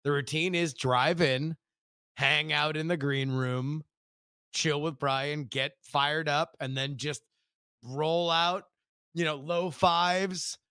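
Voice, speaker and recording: An American man co-hosting a podcast in casual chat, halting often and circling back over his words. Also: a little high, steady tone, bright, forceful tone, smooth, dry recording, clean studio sound. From United States. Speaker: podcast co-host.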